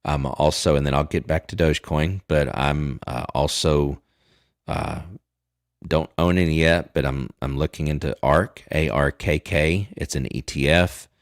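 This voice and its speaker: Very deep-voiced Southern man. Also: steady tone, measured pace, no echo, studio quality. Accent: Southern US (Texas). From Texas. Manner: rambling, many fillers and restarts. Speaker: livestream host. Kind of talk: casual chat.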